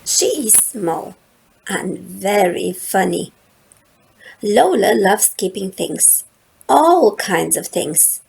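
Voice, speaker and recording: A woman reading a children's picture book aloud in a gentle, expressive storytelling manner. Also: mid-range voice, slow pace, vocal fry, slightly rough, rough recording. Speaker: storytime reader.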